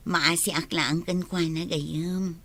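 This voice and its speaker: A woman's voice: low voice, fairly even intonation, slow, fairly bright tone, slight background noise, exaggerated voice. A woman acting a supportive young single friend in a Filipino radio drama, sympathetic and conversational, with a Filipino accent. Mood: curious, concerned.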